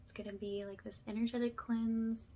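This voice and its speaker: A woman with a soft, breathy voice that often drops to a whisper, gently leading a soothing spiritual ASMR session in a reassuring way. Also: fairly high pitch, level tone, moderate pace. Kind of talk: ASMR, soothing guided energy cleanse.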